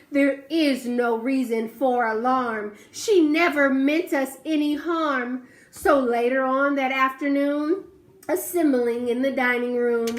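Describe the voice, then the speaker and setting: A woman teacher reading a rhyming storybook aloud to young children, pausing to ask the class questions. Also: sits high, echoey room.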